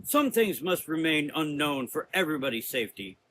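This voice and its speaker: Man playing a stern, forceful temple matriarch. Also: on the high side, very animated, fairly quick, a little hiss or hum, voice pushed up. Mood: wary. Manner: authoritative, accusing. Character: stern commanding forty-year-old woman, head of a temple, suspicious. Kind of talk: gothic horror audio drama.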